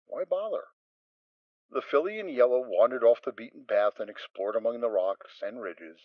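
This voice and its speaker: A man narrating a dramatic reading of a story, steady and expressive. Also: mid-range voice, normal speed, no room sound, lo-fi sound. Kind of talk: dramatic reading of fan fiction.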